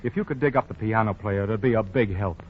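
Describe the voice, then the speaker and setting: A man's voice: lowish voice, fast, a little hiss or hum, natural voice. An actor playing a harried young man who stammers when flustered, in a 1940s radio drama. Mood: anxious. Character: harried young man, the old man's son. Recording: dull old radio broadcast.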